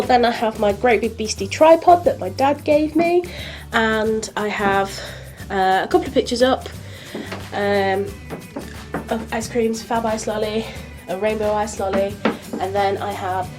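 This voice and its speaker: A woman's voice: mid-range voice, darkish tone. A young woman with a slightly nasal, stuffy voice from hay fever, chattily showing off her beauty room in a casual home vlog. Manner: chatty, gushing, rambling. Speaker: beauty vlogger.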